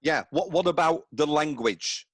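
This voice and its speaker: A man co-hosts a football podcast interview. His voice sits slightly high, and the sound is dry and close with no room echo. He asks his questions in a friendly, joking way. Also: unhurried, fairly bright tone, slightly rough. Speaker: podcast co-host. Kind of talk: casual interview chat.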